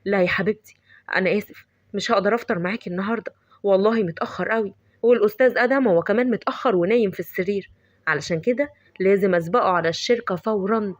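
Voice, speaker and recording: A woman voicing a hurried, impatient young man with an Egyptian Arabic accent who scolds in a bossy way. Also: medium pitch, no echo, lo-fi sound, lightly acted.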